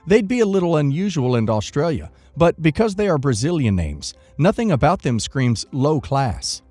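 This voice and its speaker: A man narrating first-person online stories from a script in an expressive reading manner. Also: fairly high pitch, normal speed, dry recording, faint music behind.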